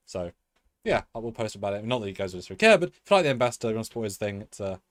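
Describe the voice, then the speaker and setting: A man's voice: lowish voice, very animated, very fast, no echo. A man co-hosting a live review show, rambling in a chatty way with many fillers and restarts. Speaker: co-host. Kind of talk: live-stream review chat.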